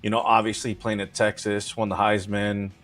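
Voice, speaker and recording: A man's voice: on the low side, level tone, moderate pace, fairly bright tone, faint music behind. A man on a sports panel, making his case in casual debate.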